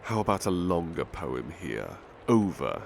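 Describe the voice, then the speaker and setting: A man playing a big-hearted butler, reading poems in a measured, gentle, formal ASMR style. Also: deep voice, very animated, creaky, full character voice. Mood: gentle, courteous. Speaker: ASMR roleplay performer. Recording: faint ambient background noise.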